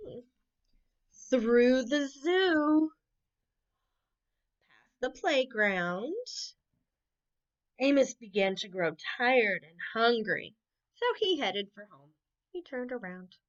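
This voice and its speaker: A woman reading children's bedtime stories aloud in an expressive storytelling manner, with exclamations and asides. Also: fairly high pitch, slow pace, pauses often, highs cut off. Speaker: camp unit head reading bedtime stories. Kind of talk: animated picture-book read-aloud for children.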